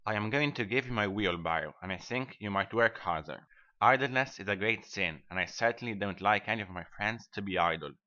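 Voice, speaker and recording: A man's voice: lowish voice, brisk, bright, forceful tone. A man playing a selfish, sanctimonious miller in a storybook reading, lecturing in a self-righteous manner. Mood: stern, preachy. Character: selfish, sanctimonious male miller, smugly preaching friendship. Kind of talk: scripted storybook dialogue. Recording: dull, band-limited audio.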